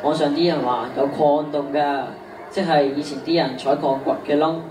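Teen boy doing caring school pal, hk cantonese, radio drama, bit high pitched, noisy roomy hall. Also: steady tone, moderate pace, close to an everyday voice. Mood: encouraging. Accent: Hong Kong Cantonese. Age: teenager. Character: caring schoolboy friend, supportive and easygoing. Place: stage of a large hall. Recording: noisy echoey stage, distant mic.

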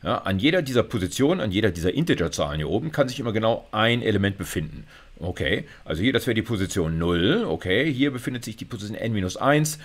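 Low-voiced, animated middle-aged male lecturer. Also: fast talker. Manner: conversational, frequent check-in tags like "okay" and "ja". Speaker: university lecturer. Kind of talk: informal explanatory lecture over slides.

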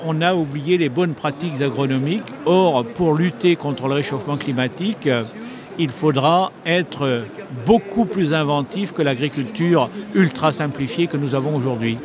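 An older man, a retired journalist, answering interview questions in a measured, explanatory way with frequent hesitations, at a book fair stand into a handheld mic. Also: on the high side. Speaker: retired agricultural journalist, author. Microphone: handheld mic held by interviewer. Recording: noisy crowded hall. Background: crowd chatter.

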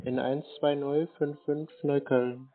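A man taking a phone call, answering in a deadpan, evasive way while playing dumb. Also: on the high side, unhurried. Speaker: call recipient pranking a scammer. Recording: tinny phone line.

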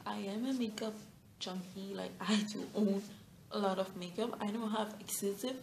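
A woman's voice: medium pitch, fairly even intonation, moderate pace, gravelly, a little mellow, reverberant, a little hiss or hum. An eighteen-year-old woman with a Mexican Spanish accent, a native Spanish speaker, chatting casually to camera with lots of fillers, restarts and giggles. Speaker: beauty vlogger. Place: small bright room indoors.

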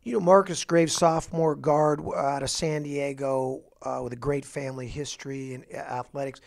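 A middle-aged man, a basketball coach, talking through his roster in an office interview with a handheld mic, halting with fillers and restarts. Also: mid-range voice, flat delivery, creaky, a little mellow. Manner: halting, many fillers and restarts, upbeat emphasis on each name. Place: office, indoors.

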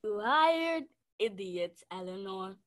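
A woman's voice: mid-range voice, expressive, slow. A young woman playing an imperious, suspicious murder suspect in a radio mystery play. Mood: scornful.

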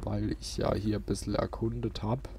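A man's voice: on the low side, level tone, normal speed, heavy background noise. A man doing casual let's play commentary, thinking aloud with playful little interjections. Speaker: let's player, channel host. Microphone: close desk microphone. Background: game music.

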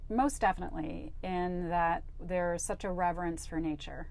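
Chatty woman telling stories, lowish voice. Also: moderate pace, creaky. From Hawaii. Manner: chatty, animated storyteller acting out quotes. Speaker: guest. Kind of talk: casual interview storytelling. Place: flower-decorated studio set.

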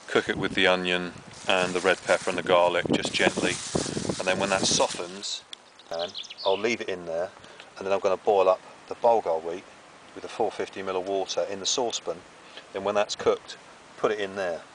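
A man's voice: deep voice, steady tone, moderate pace, fairly bright tone, low-quality recording. A middle-aged man chatting casually to the camera as he presents outdoor cooking. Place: outdoors on a grassy riverbank under trees. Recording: outdoor camera audio with clear background noise.

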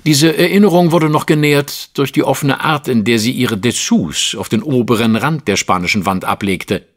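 A man's voice: medium pitch, moderate pace, natural voice. An actor playing a refined, self-assured Victorian detective, narrating his case in an audio drama with dry, formal, precise wit. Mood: intrigued. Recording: faint music under the voice. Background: music.

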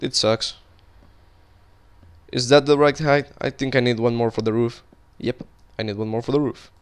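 A young man with a Colombian Spanish accent talks loosely over his gameplay, drifting between stories and what he is building. His voice is smooth and clear, sitting at an ordinary pitch. He restarts sentences often, in an easygoing, conversational way. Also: fairly even intonation, moderate pace. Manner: rambling and chatty, talking while playing, frequent restarts. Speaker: gaming video creator. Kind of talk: casual gameplay commentary.

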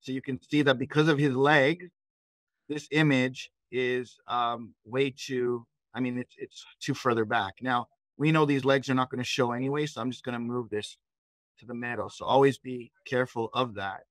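A middle-aged man walks learners through software in a conversational tutorial style. His voice sits at a medium pitch and is recorded dry, with very little room sound. He explains step by step, posing questions as he goes. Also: fairly even intonation, normal speed, dry recording. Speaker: trainer leading a live software session. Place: indoors, on a video call.

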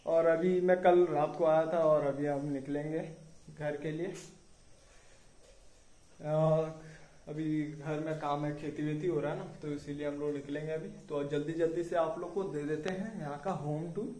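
A man's voice: on the high side, steady tone, unhurried, warm tone. A young man giving a casual, chatty house tour as he walks through the rooms and talks to whoever is filming him. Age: young adult. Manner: chatty, walks while talking to a filming sister. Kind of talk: casual home tour vlog. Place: small concrete house, bare rooms and rooftop. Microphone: camera held by someone else, a few steps away. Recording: echoey bare rooms, distant camera mic.

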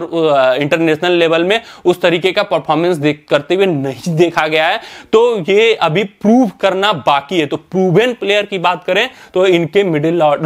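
A young man with a high voice talks through a cricket team lineup in front of a screen. He explains step by step, pausing on fillers and correcting himself as he goes. The sound is from an indoor studio. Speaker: cricket news presenter. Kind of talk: cricket analysis talk to camera.

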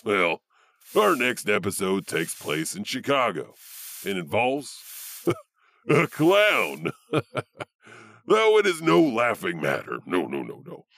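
An actor playing a ghoulish, wry old storyteller, hosting horror tales in a theatrical, punning way. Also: male voice, on the low side, wildly swooping pitch, slow, pauses often, a bit bright, no echo, heavily acted. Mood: sly, amused. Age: older. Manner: sly, theatrical, punning, chuckling. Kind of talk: horror anthology host narration.

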